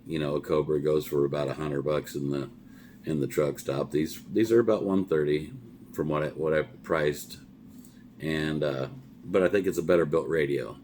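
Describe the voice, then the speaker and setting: A man's voice: a bass voice, steady tone, moderate pace, darkish tone, a little hiss or hum. A middle-aged man, a trucker, chatting casually through a product review in a plain-spoken, folksy way, often repeating words as he goes. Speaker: trucker reviewing gear for followers.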